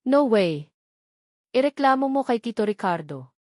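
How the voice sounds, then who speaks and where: A woman voicing a lively young female socialite in an audiobook, excitable and chatty, mixing English into her Tagalog. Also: fairly high pitch, unhurried, no room sound, studio quality. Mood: shocked.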